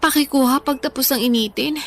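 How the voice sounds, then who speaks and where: High-voiced, stubborn Filipina food lover. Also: female voice, brisk. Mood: nonchalant, stubborn. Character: stubborn, food-loving overweight young woman, cheeky and unbothered. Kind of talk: Filipino radio drama acting.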